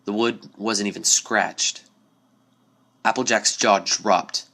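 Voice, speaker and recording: A man's voice: fairly low pitch, fairly even intonation, takes it slowly, sharp tone. A man giving a dramatic scripted narration of a spooky story. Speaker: story reader.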